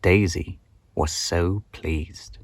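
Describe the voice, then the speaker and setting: A man narrating a children's picture book aloud in an expressive, theatrical and at times hushed storytelling style. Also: low-pitched, very slow, dry recording. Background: nature and insect sound effects.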